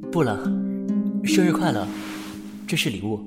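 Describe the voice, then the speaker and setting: An actor playing a reserved, earnest young ex-boyfriend in a radio drama. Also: male voice, mid-range voice, sing-song, moderate pace, natural voice. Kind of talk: Chinese radio drama acting. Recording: voice under loud music.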